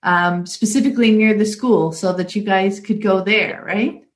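A woman's voice: medium pitch, moderate pace, roomy sound. A woman interviewing her guest in a casual chat, asking friendly follow-up questions.

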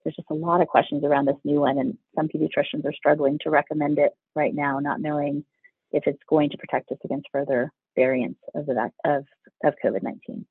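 A pediatrician answering interview questions with a hoarse, husky voice, earnest and explanatory. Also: male voice, a little high, little pitch movement, a little fast, no room sound. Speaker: pediatrician guest. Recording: tinny phone line, dry.

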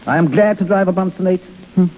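An actor playing an effusive, fussy, self-pleased company boss in a 1930s radio play. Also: male voice, sits high, fast, soft background music, natural voice. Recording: echoing old radio broadcast, narrow band.

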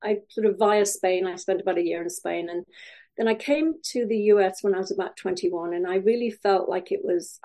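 Mellow-voiced woman, hesitant. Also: medium pitch. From Wales. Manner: hesitant, many fillers and restarts, laughs often. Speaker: guest. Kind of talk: podcast interview.

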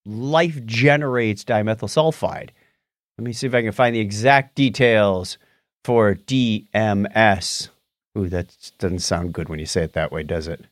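A man's voice: fairly low pitch, a little slow, dry recording, clean studio sound. A man hosting a podcast, chatting casually through news items and explaining them in a wry, jokey way. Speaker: podcast host. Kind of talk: casual news chat with banter.

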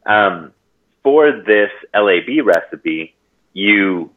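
A man from Hawaii explaining things casually and haltingly, pausing often mid-sentence, over a call. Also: on the low side, expressive. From Hawaii, United States. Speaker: interview guest, natural farming expert. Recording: narrow tinny phone-like line.

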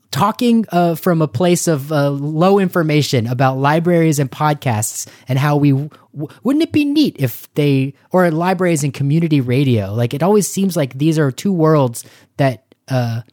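A man co-hosting a podcast, chatting casually in an excitable, effusive way. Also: on the high side, moderate pace, no echo, clean studio sound. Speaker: podcast co-host. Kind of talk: casual enthusiastic podcast chat.

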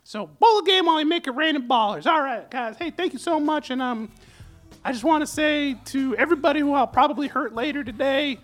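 A man's voice: very high voice, fairly quick, a bit bright, faint music behind. A man voicing a dopey male cartoon mouse hosting an improvised comedy show, drawling "man" at the end of lines. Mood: goofy. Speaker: host.

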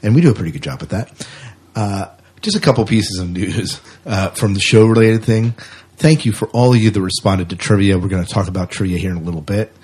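A man's voice: on the low side, lively intonation, brisk, darkish tone, breathy edge. A man co-hosting a podcast in casual, unscripted chat, often repeating his phrases. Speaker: podcast co-host.

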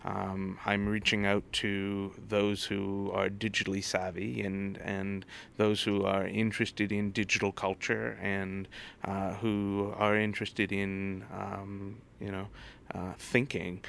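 A man speaking as an interview guest in a casual, hesitant way, often pausing mid-sentence. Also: fairly low pitch, completely flat delivery, creaky, a bit bright. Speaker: interview guest, head of a digital arts college. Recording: faint background noise.

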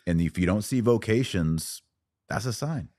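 A man speaking as a guest in a conversational podcast discussion, choosing his words in a halting, earnest and deliberate way. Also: fairly low pitch, lively intonation, darkish tone.

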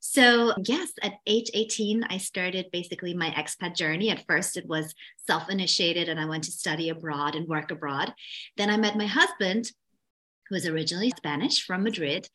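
A woman's voice: lowish voice, normal speed, pushed and bright. A woman from Austria, a guest on a podcast, answering interview questions earnestly with hesitant fillers. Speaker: expat coach, guest.

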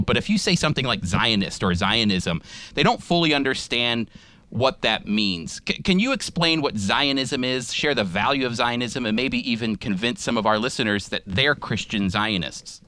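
A man's voice: mid-range voice, animated, moderate pace, pushed and bright. A man hosting a radio interview, asking questions earnestly and enthusiastically. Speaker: radio show host.

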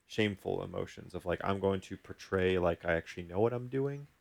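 A man's voice: deep voice, a little fast, gravelly. A man hosting a podcast, explaining ideas casually and acting out example thoughts as he goes. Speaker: podcast host, therapist.

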